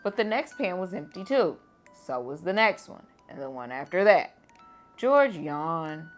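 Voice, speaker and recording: Woman teacher, slow bedtime read-aloud, low warm voice, faint music underneath. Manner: gentle, expressive storytelling.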